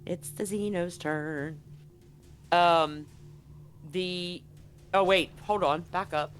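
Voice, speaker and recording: A game master running a live tabletop roleplaying game, narrating and bantering excitably and repeating phrases for emphasis. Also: mid-range voice, takes it slowly. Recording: music behind the voice. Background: background music.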